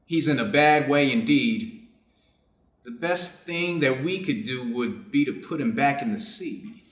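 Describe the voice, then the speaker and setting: A middle-aged Japanese surgeon, read by a man in a staged story. He speaks formally and deliberately, reflective and clinical yet conflicted. The room adds a clear echo around the voice. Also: mid-range voice, animated, a bit bright. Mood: clinical concern. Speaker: reader's theater performer. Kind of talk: staged reading of a short story, measured delivery. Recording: echoey room.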